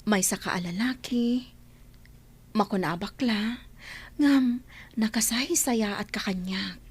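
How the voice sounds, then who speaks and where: Husky, heartfelt young Ilocano mother played by a woman. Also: mid-range voice, takes it slowly. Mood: grateful, happy. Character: earnest, devoted young mother who works abroad. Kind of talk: Ilocano radio-style drama acting.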